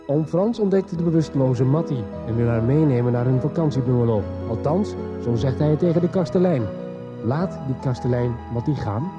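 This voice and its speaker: A man's voice: mid-range voice, brisk. A man narrating a scripted recap for a radio serial. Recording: muffled 1990s radio, music behind.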